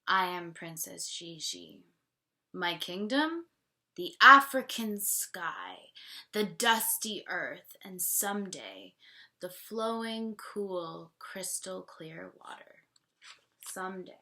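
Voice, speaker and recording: A woman's voice: on the low side, sing-song, slow pace, bright, forceful tone, exaggerated voice. A woman voicing a wistful, earnest little village girl who dreams of being a princess, in a picture-book read-aloud. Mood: dreamy, hopeful.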